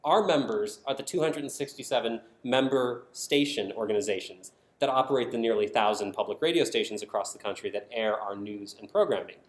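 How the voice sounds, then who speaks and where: A man giving a prepared conference presentation, explaining his points with emphatic stresses. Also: medium pitch. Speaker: conference presenter, design team member at a public radio network. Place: indoor conference talk. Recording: echoey room sound.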